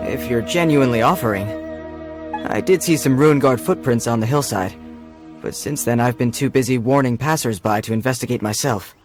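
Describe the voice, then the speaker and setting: An actor playing an earnest, dutiful city guard in a video game, speaking in a formal, official way. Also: male voice, medium pitch, brisk, close to an everyday voice. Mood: surprised, grateful. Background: game music.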